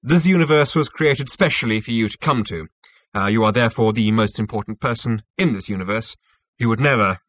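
A man's voice: on the low side, fairly quick, a bit bright. A man voicing a smug, self-assured male schemer with a businesslike, slightly hesitant manner in a science fiction storybook.

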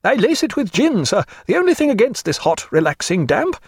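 A man's voice: high voice, sing-song, brisk, breathy edge, dry recording, clean studio sound, voice pushed up, full character voice. A man voicing a coarse, gin-drinking old Englishwoman in an audiobook, blunt, garrulous and theatrical. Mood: bawdy, garrulous. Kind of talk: audiobook character voice.

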